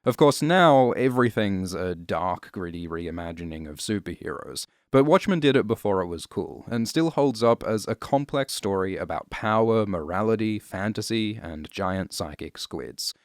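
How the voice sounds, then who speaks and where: A man delivers scripted explanatory narration in a low-pitched voice. His manner is deadpan and wry, slipping in casual asides. The recording is close and dry, with no room sound. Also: moderate pace, hardly any pauses, no room sound. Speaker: host and narrator of explainer videos.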